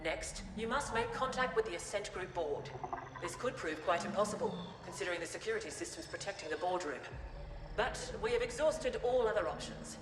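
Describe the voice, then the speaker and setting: A woman's voice: mid-range voice, lively intonation, a little fast, noisy background, natural voice. Woman playing a cold, echoey corporate operative. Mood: formal, businesslike. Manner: formal, precise, condescending. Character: cold, menacing, formal female corporate operative. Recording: echoey hall with music behind.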